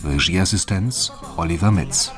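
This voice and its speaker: A man announces radio drama credits in a low voice. His delivery is scripted and very unhurried. Music plays clearly behind him.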